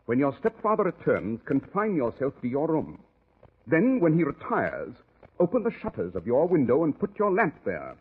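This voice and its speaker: An actor playing a shrewd, unruffled gentleman detective, precise and dryly witty, in 1940s radio drama style. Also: a little high, wildly swooping pitch, normal speed, husky, phone-quality sound, full character voice. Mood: grave, commanding. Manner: urbane, precise, dryly ironic. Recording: thin, band-limited 1940s radio recording.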